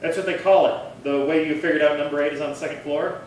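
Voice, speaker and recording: A man's voice: fairly high pitch, steady tone, quick pace, lightly acted. A man playing an amiable, self-satisfied detective sidekick in a 1950s-style radio crime drama, folksy and keen to explain. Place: live theatre performance space. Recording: very echoey hall, distant, faint noise.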